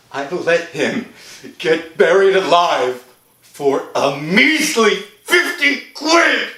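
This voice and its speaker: A man's voice: fairly high pitch, pushed and bright, echoey room, heavily acted. A man playing a trembling, guilt-ridden young man pleading in an agitated way in a horror radio drama. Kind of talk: old-time horror radio drama acting.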